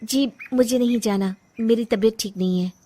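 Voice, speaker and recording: A woman voicing an unhappy young bride in a cartoon story, resentful and quick to snap, sometimes tearful. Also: a little high, heavy background noise, close to an everyday voice. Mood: curt. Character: young unhappy bride, frustrated, sharp-tongued.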